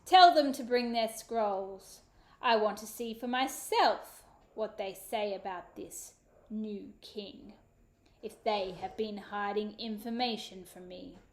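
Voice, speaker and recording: A woman's voice: takes it slowly, echoey room. A woman voicing a thundering angry king. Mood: menacing. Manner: booming, commanding. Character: angry, menacing male king.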